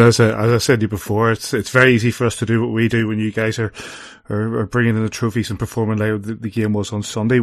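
A man's voice: lowish voice, no echo, studio quality. A man co-hosting a sports podcast, casual and friendly as he thanks a guest and asks a last question. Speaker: podcast co-host.

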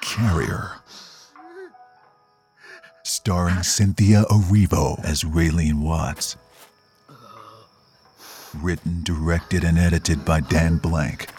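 A man's voice: sits low, steady tone, slow pace, long pauses, a little mellow. A man reading scripted end credits as an announcer. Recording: music bed behind.

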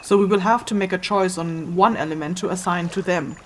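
A woman playing an assured, stern ritual caster in a fantasy audio drama, measured and teacherly. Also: fairly low pitch, slight background noise, close to an everyday voice. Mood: instructive, calm.

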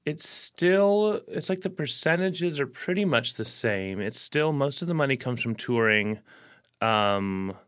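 A man's voice: medium pitch, a little slow, smooth. A man, a working musician, answering interview questions casually, rambling with lots of verbal fillers. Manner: relaxed and rambling, full of "like" and "you know" fillers. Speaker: career musician, interview guest.

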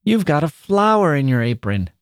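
A man's voice: a little high, normal speed, no room sound, clean studio sound, voice pushed up, lightly acted. A man voicing a weary, irritable, bitter working-class mother in an audiobook, scornful and using dialect turns of phrase. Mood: startled. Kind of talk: literary audiobook character voice.

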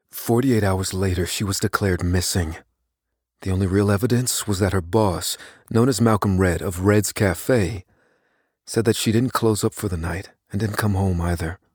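An actor playing an unassuming, secretive young computer whiz, giving a guarded, deliberate confession in an audio drama. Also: male voice, low-pitched, slightly rough, dry recording, clean studio sound. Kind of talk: dramatic first-person audio fiction narration.

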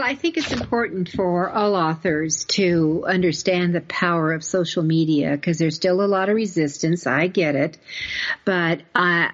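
A mature woman hosts a conversational podcast in a lowish voice. She is chatty and colloquial, leaning on words for emphasis. The audio is somewhat dull and lo-fi. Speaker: podcast host. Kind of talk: conversational interview podcast about book publishing.

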